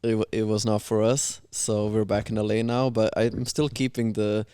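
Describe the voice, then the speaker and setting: A man's voice: fairly low pitch, flat delivery, normal speed, dark tone, clean tone, no echo, clean studio sound. A man from Austria, a podcast guest talking bluntly and opinionatedly in casual chat. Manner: blunt, opinionated, swears freely.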